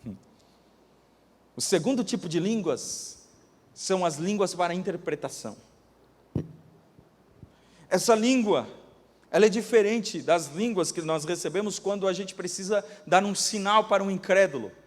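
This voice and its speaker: A male pastor with a Brazilian Portuguese accent, preaching a sermon and telling testimonies dramatically, swinging between loud bursts and hushed asides. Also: high voice, normal speed, pauses often, in a large hall. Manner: dramatic storytelling, acting out quoted voices, swinging from loud to hushed. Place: church.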